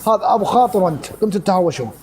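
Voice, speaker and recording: A man's voice: high voice, lively intonation, very fast, crowd noise. A man on a live stream, insistently arguing and repeating his points. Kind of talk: heated live-stream banter.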